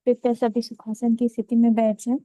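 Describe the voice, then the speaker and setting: A woman leading a guided meditation, giving calm, unhurried instructions in short phrases with pauses. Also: fairly high pitch, dry recording, poor audio.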